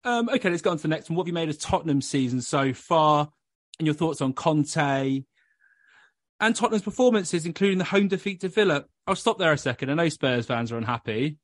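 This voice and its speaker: A man's voice: a little high, animated, brisk, no room sound, clean studio sound. A man hosting a football podcast, chatting casually with blunt, pessimistic banter. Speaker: podcast host.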